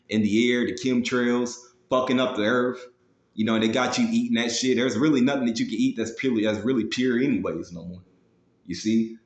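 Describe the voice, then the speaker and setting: A man's voice: medium pitch, roomy sound. A man preaching in a confrontational, profane, slangy way, moving between scripture readings and blunt commentary peppered with casual tags. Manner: slangy, with frequent "man" and "you know" tags. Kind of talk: fiery street-style sermon with scripture read aloud.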